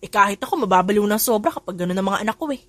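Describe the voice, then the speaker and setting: A woman's voice: medium pitch, expressive, rapid-fire, a bit bright, lightly acted. A woman voicing an exhausted young secretary who grumbles about the boss's children. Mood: weary. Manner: weary, grumbling.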